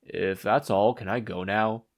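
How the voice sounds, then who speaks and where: A man's voice: lowish voice, steady tone, unhurried. Man doing a grumpy, tired growler. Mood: bored. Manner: growling, drawling.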